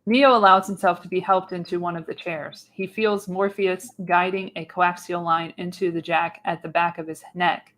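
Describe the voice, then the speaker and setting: Woman reading stage directions for a radio play, voice a bit low, scripted narration. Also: steady tone, normal speed, hardly any pauses, a bit bright, low-quality recording. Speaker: narrator, podcast host.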